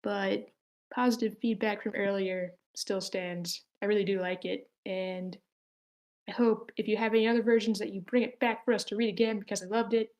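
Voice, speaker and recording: A woman's voice: medium pitch, steady tone, moderate pace, darkish tone. A woman hosting an online screenplay table read, speaking casually as she gives feedback and moderates.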